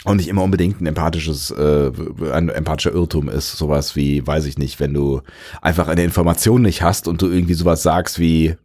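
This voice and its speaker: A man's voice: extremely low pitch, steady tone, fairly quick, darkish tone. A man co-hosting a podcast in casual chat, often losing his thread and laughing. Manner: rambling, loses track mid-sentence, laughs often. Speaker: podcast co-host.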